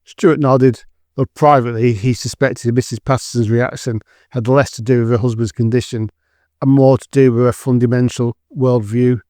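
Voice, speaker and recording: A male narrator reads a scripted dramatic story. His voice is smooth and clear, of medium pitch, in a very dry recording. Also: steady tone, moderate pace, dark tone, no echo.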